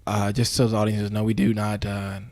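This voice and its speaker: A man playing an earnest, awkward amateur podcast host, hesitant and rambling with a deadpan delivery, in a mock-investigative interview. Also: on the low side, brisk, lightly acted.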